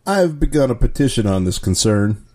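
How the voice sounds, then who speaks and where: A man's voice: medium pitch, sing-song, fairly quick, darkish tone. A man co-hosting a comedy podcast, reading a complaint comment aloud in a prim, scandalized tone. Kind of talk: mock-earnest reading of a comment.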